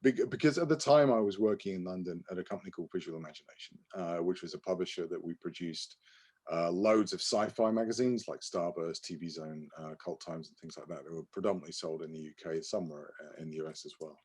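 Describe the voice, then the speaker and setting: A man's voice: sits low, quick pace, darkish tone, slightly rough. A man co-hosting a podcast, telling anecdotes casually and often correcting himself in a hesitant, apologetic way. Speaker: podcast co-host.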